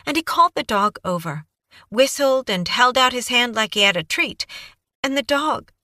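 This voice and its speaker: A woman voicing a flustered, tearful young woman who babbles through her story in a high, breathless voice for an audiobook. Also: expressive, moderate pace, pushed and bright, no room sound, studio quality. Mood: shaken. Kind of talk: audiobook character voice.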